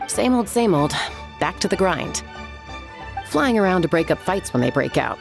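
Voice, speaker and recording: A woman voicing a laid-back female warrior who talks in a relaxed, easygoing, dry way. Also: fairly low pitch, normal speed, vocal fry. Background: game music.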